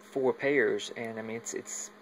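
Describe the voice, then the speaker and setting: Male hobbyist demonstrator, flat and creaky, halting unscripted delivery, filmed on a phone with faint hum behind. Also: medium pitch, low-quality recording. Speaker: hobbyist demonstrating a home radio antenna setup. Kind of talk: casual unscripted walkthrough demo. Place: at home, moving between indoors and outside. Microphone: handheld phone while filming.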